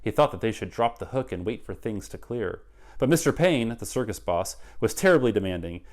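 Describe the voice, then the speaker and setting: Animated middle-aged man reading to children. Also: lowish voice, fairly quick. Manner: animated, dramatic. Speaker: read-aloud guest reader. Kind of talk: expressive picture-book read-aloud for children. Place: child's bedroom.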